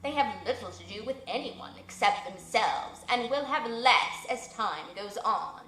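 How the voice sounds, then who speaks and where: A woman's voice: a little high, expressive, fairly bright tone, echoey room, rough recording. Woman doing a grave old male owl. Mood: solemn. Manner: slow, measured, formal.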